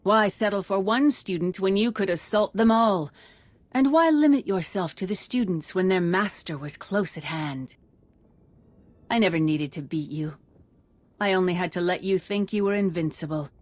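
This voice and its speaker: An actress playing a wise, defiant Jedi master in a video game, composed and measured. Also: female voice, mid-range voice, no room sound, close to an everyday voice. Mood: resolute. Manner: measured, assured. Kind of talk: dramatic video game acting.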